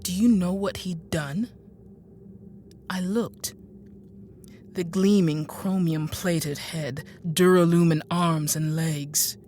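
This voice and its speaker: An actress playing a composed, sharp-tongued woman inventor in an audio drama, speaking in a measured, arch and deliberate way. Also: on the low side, fairly even intonation, slight background noise. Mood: coolly recounting. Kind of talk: audio drama acting and first-person narration.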